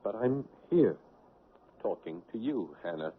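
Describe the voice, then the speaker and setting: An actor in a 1970s radio drama playing a bewildered, anguished man, halting and stammering as he speaks. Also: on the low side, big pitch swings, slightly rough, heavily acted. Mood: bewildered, fearful. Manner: halting, stammering, repeating words. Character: anguished, haunted man newly dead, bewildered and lonely. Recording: noisy, narrow-band 1970s radio recording.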